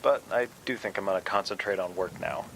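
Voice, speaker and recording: A man acting as an awkward young man in an audio drama phone call. He speaks in a low voice, halting and apologetic, as if to an estranged friend. Faint noise sits behind him. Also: fairly bright tone. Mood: hesitant, apologetic. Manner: hesitant, halting.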